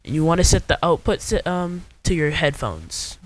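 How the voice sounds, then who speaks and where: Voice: mid-range voice, sing-song, moderate pace. A young adult host giving an informal, step-by-step screen tutorial in a casual way. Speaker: video host.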